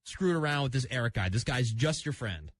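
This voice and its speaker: A man's voice: mid-range voice, expressive, brisk, background noise. A man hosting a radio show, steering an on-air relationship call with questions. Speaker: radio host.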